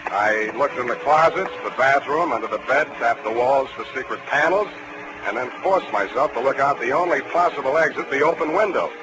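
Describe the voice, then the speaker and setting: A man playing a wry, hard-boiled private detective, narrating in deadpan wisecracks in a 1940s radio drama. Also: medium pitch, a little fast, background noise, lightly acted. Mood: tense, wry. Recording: old 1940s radio broadcast, music behind.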